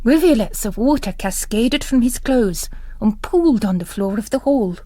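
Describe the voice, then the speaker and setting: A woman's voice: a little high, animated, moderate pace, warm tone, dry recording. A woman giving a scripted literary narration of a novel.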